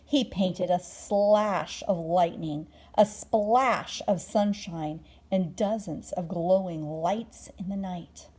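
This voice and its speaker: A woman reading a children's bedtime story aloud expressively, drawing out words and dropping into hushed moments. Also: lowish voice, slow, hardly any pauses, slightly rough. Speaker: storybook reader.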